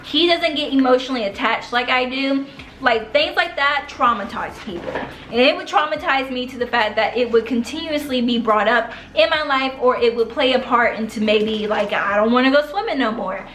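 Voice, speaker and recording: A woman's voice: high voice, moderate pace, fairly bright tone. Chatty young woman in an echoey room. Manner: chatty, rambling, uses slang. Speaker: vlogger giving writing tips. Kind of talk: casual talk to camera. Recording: echoey room, faint noise.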